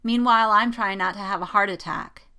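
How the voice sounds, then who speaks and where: A woman's voice: mid-range voice, brisk, sharp tone. A woman voicing an anxious, lovestruck young female pilot in a story, sighing and whining in exasperation. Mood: lamenting. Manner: sighing, lamenting, whining, easily flustered. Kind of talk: dramatic fan fiction reading.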